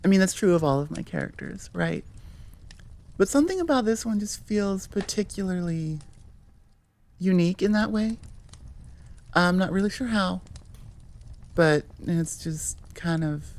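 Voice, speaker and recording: A woman's voice: medium pitch, animated, a little slow, pauses often, a little mellow. An American woman from Nevada chatting informally as an audio creator in a rambling, self-correcting outro. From Nevada, United States. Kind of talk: casual rambling outro chat.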